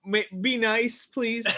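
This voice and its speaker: A man hosting a casual livestream chat, excitable and stammering, repeating his exclamations. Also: very high-pitched, a little hiss or hum. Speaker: show host.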